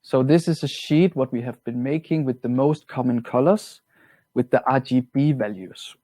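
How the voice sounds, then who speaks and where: Man with a Danish accent, mellow and dry. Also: medium pitch, moderate pace, no echo. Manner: relaxed, plain-spoken, slightly non-native grammar. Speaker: product trainer. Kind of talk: webinar software walkthrough.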